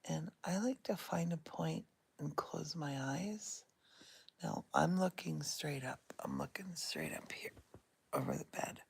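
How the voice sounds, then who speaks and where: Whispery low-voiced woman in bed. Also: creaky, breathy edge. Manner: soft and whispery, unhurried. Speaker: vlogger. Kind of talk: casual guided meditation vlog. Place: in bed at home. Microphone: phone held in one hand.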